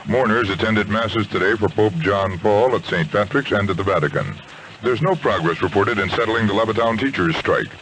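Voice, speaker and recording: Low-voiced American male radio news anchor. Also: moderate pace, fairly bright tone. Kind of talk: scripted radio newscast. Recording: noisy 1970s AM radio aircheck.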